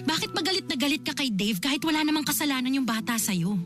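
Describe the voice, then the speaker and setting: An exasperated older sister in a Filipino radio drama. Her voice is very high, emotional and insistent as she scolds. Music plays clearly behind her. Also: lively intonation, fast. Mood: pressing, stern.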